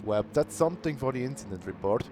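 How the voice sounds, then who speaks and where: A man commentating an online sim racing qualifying session in a plain, unhurried way. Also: mid-range voice. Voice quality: calls himself monotonous. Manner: unhurried, plain-spoken, bursts of cheering. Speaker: race commentator. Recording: noisy stream audio.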